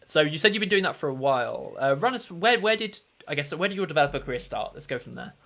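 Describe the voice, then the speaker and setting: A man hosting a live stream interview, eager and encouraging, full of quick affirmations. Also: on the high side, animated, vocal fry, bright, forceful tone. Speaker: stream host.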